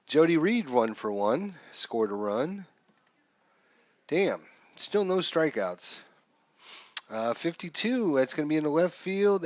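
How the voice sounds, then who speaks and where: Slow-paced man calling a baseball game. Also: a little high, a little mellow, no echo, dull audio. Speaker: live streamer, host. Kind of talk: baseball game play-by-play commentary.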